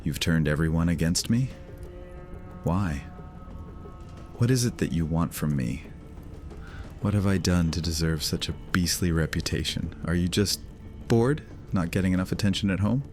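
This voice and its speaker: A man's voice: sits low, normal speed, a little mellow, close to an everyday voice. A man playing a brooding, defiant outcast vampire in a fantasy audio drama, with a sardonic way of talking. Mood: defiant, annoyed. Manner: sardonic, hesitant fillers turning defiant. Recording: music under the voice.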